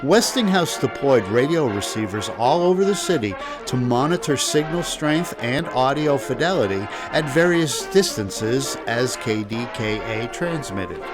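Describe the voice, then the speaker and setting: A man's voice: mid-range voice, lively intonation, measured pace, hardly any pauses. A man narrates a scripted history in a wry, conversational storytelling way. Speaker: podcast host. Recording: music playing behind the voice. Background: background music.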